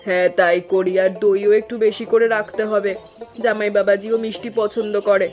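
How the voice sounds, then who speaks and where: A woman's voice: medium pitch, flat delivery, moderate pace, a little mellow, soft background music, natural voice. A woman playing a caring, middle-aged mother in a cartoon, eagerly preparing for a family visit. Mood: warm, planning. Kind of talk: cartoon voice acting.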